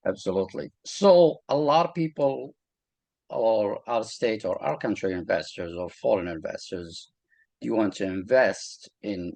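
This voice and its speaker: A man with a Moroccan accent speaks in a fairly low voice and talks in a halting way, with many fillers. His words sound dry, with no echo of the room. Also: a little mellow. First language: Arabic. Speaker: real estate agent, guest. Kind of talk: casual interview talk.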